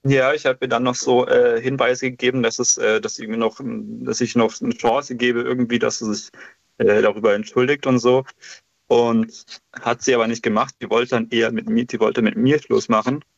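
A man's voice: medium pitch, flat delivery, moderate pace, vocal fry, no echo, highs cut off. A man calling in to a radio show, talking hesitantly with many fillers. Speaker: radio caller. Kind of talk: casual phone-in chat.

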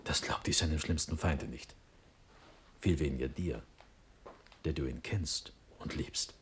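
An actor playing an impulsive, trusting young Prussian prince in a classical verse radio play, with declamatory, impassioned delivery that moves from urgent to hushed. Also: male voice, a bass voice, a little slow, a little hiss or hum. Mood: fervently trusting.

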